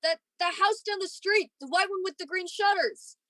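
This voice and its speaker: A woman's voice: very high-pitched, normal speed, a bit bright, no room sound. A woman acting an emotional, eager young male space lieutenant in a radio play, with an earnest, pleading manner. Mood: overwhelmed, excited. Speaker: actor in a student radio play.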